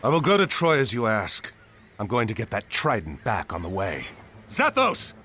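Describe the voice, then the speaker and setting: An actor playing a resolute admiral and warrior hero in a video game, commanding and earnest. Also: male voice, lowish voice, huge pitch swings, moderate pace, pushed and bright, slight background noise, full character voice. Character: bold, resolute Atlantean admiral, heroic male warrior. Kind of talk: video game cutscene acting.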